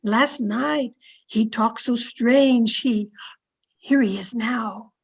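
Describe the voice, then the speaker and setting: Female actor as scolding, anxious household servant, blunt, high-pitched, old-time radio drama delivery. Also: extremely slow, breathy edge, heavily acted. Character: Ellen, fretful, blunt household servant woman narrating.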